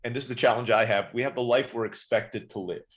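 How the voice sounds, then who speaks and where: A man in his forties, born in the Philippines and raised in Turkey, chatting quickly as a podcast guest telling his life story. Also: medium pitch, steady tone, a bit bright. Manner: rapid, chatty, often saying "right?". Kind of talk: casual interview storytelling.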